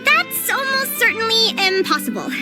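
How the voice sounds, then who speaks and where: Squeaky childlike fairy companion. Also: female voice, very animated, moderate pace, loud music behind the voice. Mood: chatty, matter-of-fact. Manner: exclamatory, refers to self in third person. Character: tiny floating fairy companion, childlike, cheeky, indignant. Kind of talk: video game voice acting.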